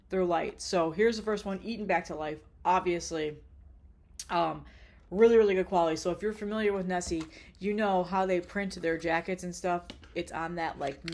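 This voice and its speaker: A woman with a stuffy, congested voice, chatting casually and bluntly about her tape collection, rambling with lots of ums and swearing. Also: fairly low pitch. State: congested with a cold. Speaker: vlogger showing her cassette collection.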